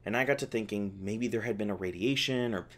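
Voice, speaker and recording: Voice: medium pitch, fairly quick, lightly acted. A performer voicing a snarky, exasperated scientist held captive in an audio roleplay, sarcastic, testy and casual in speech. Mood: uneasy, explaining. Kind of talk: ASMR audio roleplay, one-sided dialogue.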